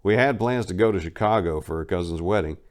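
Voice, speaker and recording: A man's voice: low voice, quick pace. A man narrating his own memoir in a casual first-person reading, wry and blunt, with crude humor.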